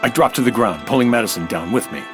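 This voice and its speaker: A man's voice: mid-range voice, animated, quick pace, loud music behind the voice, lightly acted. A man playing a wry American nightclub owner and sleuth in old-time radio noir style, dry, tough and slangy. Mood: tense narrating.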